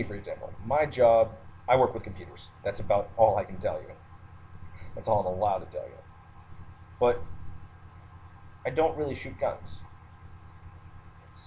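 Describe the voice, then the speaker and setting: A man's voice: fairly low pitch, darkish tone, lo-fi sound. A man giving an unscripted, matter-of-fact monologue, hesitating as he thinks aloud. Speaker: online video ranter who works in the military. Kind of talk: unscripted monologue rant to listeners. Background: background noise, roommate nearby.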